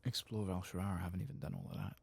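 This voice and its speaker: A man giving soft-spoken, partly whispered ASMR commentary on a video game, muttering hesitantly as he thinks aloud. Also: low voice, sing-song, racing, gravelly, slightly rough. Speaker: host of a video game playthrough channel.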